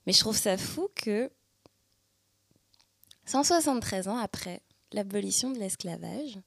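Woman, from the Paris region, young adult, slow and searching, husky, dry close sound. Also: medium pitch, animated, a bit bright, no echo. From Paris region, France. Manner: thoughtful, searching, many fillers. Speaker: speaker sharing personal testimony. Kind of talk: reflective personal monologue.

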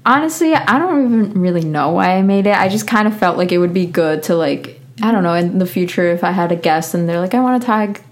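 A woman's voice: lowish voice, animated, brisk, few pauses. Chatty young woman podcast host. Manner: chatty, lots of "like" fillers. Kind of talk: casual chat.

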